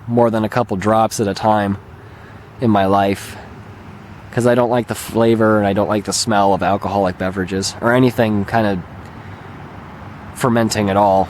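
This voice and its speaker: A man with a mildly hoarse, slightly raspy voice, talking casually and hesitantly as he rambles through a vlog as its host. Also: fairly low pitch, slight background noise. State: mildly hoarse, recovering throat. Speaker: video channel host and gamer. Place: open lakeshore beach outdoors. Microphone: handheld camera held by the speaker.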